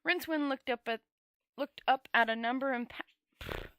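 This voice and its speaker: Bright-voiced woman reading a story aloud, halting. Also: high voice, no room sound. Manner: halting, often stumbling and correcting herself. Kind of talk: amateur read-aloud audiobook narration.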